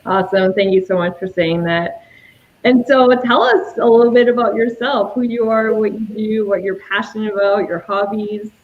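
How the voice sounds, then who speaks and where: A woman's voice: medium pitch, fairly even intonation, moderate pace, narrow, tinny audio. Gushing French-Canadian woman hosting. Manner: effusive, gushing praise. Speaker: host of a dating show. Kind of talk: casual livestream chat. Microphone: video call.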